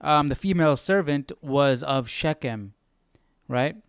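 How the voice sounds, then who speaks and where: A man hosting a Bible study, casually thinking aloud as he reads, haltingly asking himself questions. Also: on the high side, lively intonation, smooth, no room sound, studio quality. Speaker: host of a live Bible study.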